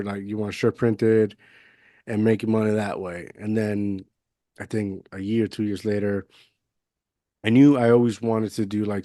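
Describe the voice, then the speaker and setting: Rambling man from Puerto Rico, low voice. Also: mellow, dry recording, clean studio sound. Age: 30s. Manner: rambling, lots of fillers and restarts. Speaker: podcast host. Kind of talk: casual conversational interview. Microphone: budget USB mic, video call.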